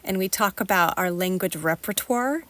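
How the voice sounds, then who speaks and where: A woman's voice: medium pitch, lively intonation, moderate pace, fairly bright tone, a little hiss or hum. Woman podcast host, easygoing conversational. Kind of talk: casual interview conversation.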